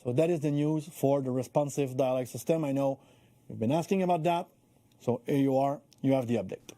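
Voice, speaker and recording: A man's voice: mid-range voice, a little fast, mellow, no room sound. A man speaking as a game developer spokesperson, giving a semi-prepared news update on a live stream.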